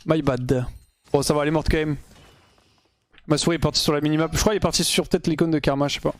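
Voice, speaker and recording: A man's voice: fairly high pitch, steady tone, fast talker. A young man casually commenting live on his own gameplay as a streamer, thinking aloud in slangy talk sprinkled with English gamer terms, into a gaming headset.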